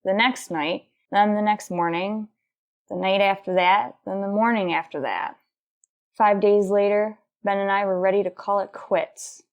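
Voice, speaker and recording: A woman's voice: mid-range voice, steady tone, unhurried, highs cut off, lightly acted. Police sergeant, played by a woman: businesslike, clipped, matter-of-fact narrator for a retro radio cop drama, mid-range voice.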